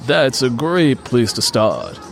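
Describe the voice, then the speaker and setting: An irritable family man , acted out by a male narrator, talks bluntly and sarcastically in a storybook scene. His casual lines have animated intonation. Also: medium pitch, takes it slowly, gravelly, soft background music, a faint crowd. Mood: irritated, firm. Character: irritated, gruff husband and brother, adult man.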